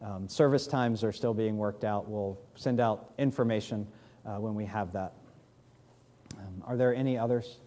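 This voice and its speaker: A man in his thirties with a New England accent, a pastor giving church announcements and leading prayer at a podium mic, speaking haltingly with many fillers. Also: on the low side, warm tone, a little hiss or hum. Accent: New England American. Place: large church sanctuary, in doors.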